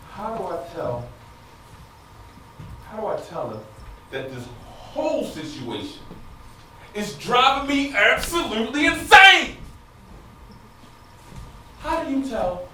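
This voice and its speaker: An actor playing an anguished, frustrated husband in an emotional theatrical stage monologue that moves between quiet confiding and loud outbursts. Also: male voice, a little high, full character voice. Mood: frustrated, confused. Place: stage in a large indoor room. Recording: echoey stage, distant mic, noisy.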